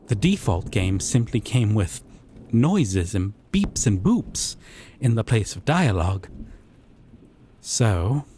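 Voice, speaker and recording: A man playing a gentle but obsessive, possessive dating-sim character in an intimate roleplay monologue, with soft breathy delivery and lingering pauses. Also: fairly low pitch, very animated, slight background noise. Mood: wistful, knowing.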